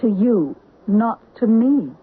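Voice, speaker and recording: An actress playing a frightened, demanding young wife who pleads tensely, in an old radio drama. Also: female voice, fairly high pitch, expressive, slow, husky, exaggerated voice. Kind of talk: 1970s radio drama acting. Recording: tinny old radio broadcast.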